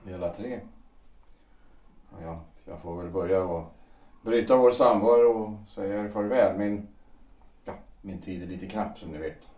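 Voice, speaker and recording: A weary older man bids farewell in a halting voice, as an actor puts him on. His tone sits low, and he sounds as if heard from across an echoing room in this audio drama. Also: dark tone, recorded from a distance. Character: Lidman, an older man taking his leave. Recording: roomy, off-mic.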